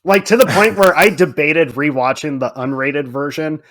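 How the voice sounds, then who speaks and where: Animated, higher-voiced man swearing freely. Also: normal speed. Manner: animated, emphatic, profane. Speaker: podcast host. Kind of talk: casual film and game chat.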